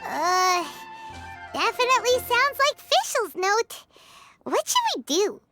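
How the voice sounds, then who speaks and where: A tiny floating fairy companion from an anime game. She is squeaky and childlike, extremely high, with a swooping, excitable delivery at an unhurried pace. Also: over loud music, exaggerated voice. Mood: curious, cheerful. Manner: speaks of self in third person.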